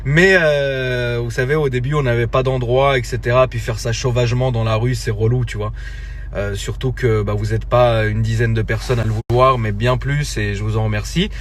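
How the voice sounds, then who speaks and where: A man chatting casually into his phone from a car, relaxed and slangy, with lots of fillers and restarts. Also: medium pitch, moderate pace, slight background noise. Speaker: social media creator. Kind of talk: casual phone story announcement.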